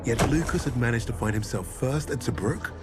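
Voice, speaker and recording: An actor playing a stern, sardonic soldier who narrates a war story in a measured, wry way for a video game. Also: male voice, medium pitch, over loud music, close to an everyday voice. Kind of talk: scripted cinematic war narration.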